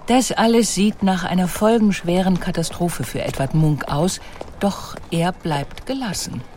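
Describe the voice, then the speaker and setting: A woman reading scripted documentary narration, articulate and deliberate, with emphatic storytelling. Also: lowish voice, background noise.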